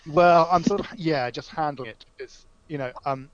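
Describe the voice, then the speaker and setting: A man's voice: a little high, moderate pace, smooth, dry recording, dull audio. A man taking his turn as a player in a tabletop game, hesitant and backpedaling. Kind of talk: playing a character in a tabletop game.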